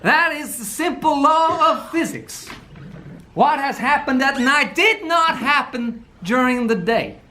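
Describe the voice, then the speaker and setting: A man's voice: very high voice, expressive, slow pace, pushed and bright, slight background noise, heavily acted. A man voicing a smug lawyer making a mock-logical closing statement. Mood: mock-reasoning. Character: smug male prosecutor lawyer, glib. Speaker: lawyer Mister Grayson. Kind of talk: amateur radio play reading.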